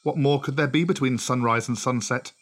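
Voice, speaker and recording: A man's voice: mid-range voice, brisk, close to an everyday voice. A man voicing a bold, courteous jungle-raised boy in a storybook, with a direct, spirited manner. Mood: eager. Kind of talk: storybook character voice.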